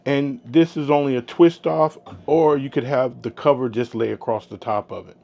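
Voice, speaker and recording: A man giving a casual product review, explaining informally in short, halting phrases. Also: medium pitch, fairly even intonation, vocal fry, mellow. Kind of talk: casual gear review vlog.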